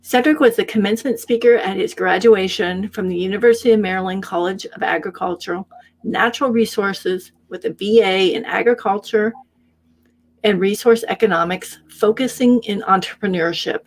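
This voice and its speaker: A woman's voice: mid-range voice, normal speed, low-quality recording. A woman hosting a live show, reading out a guest introduction with small slips and self-corrections. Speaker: host.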